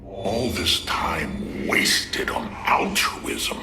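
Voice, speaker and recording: An actor playing a haughty, ruthless alien warlord in a sci-fi game, gravelly and disdainful. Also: male voice, low voice, sing-song, very slow, heavily acted. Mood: scornful. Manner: disdainful, imperious. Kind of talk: dramatic sci-fi game voice acting. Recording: loud game music behind.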